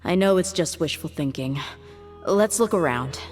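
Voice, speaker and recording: A woman voices a tough mercenary in a video game, stern and commanding. Her voice sits a bit low. Quiet music plays behind. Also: lively intonation, moderate pace, natural voice. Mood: somber.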